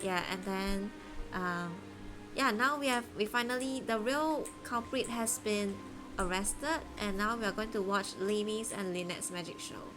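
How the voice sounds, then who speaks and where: A woman's voice: mid-range voice, measured pace. Woman streamer chatting over game music, kinda hesitant and rambly, casual. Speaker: streamer playing a story game. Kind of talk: casual livestream chat.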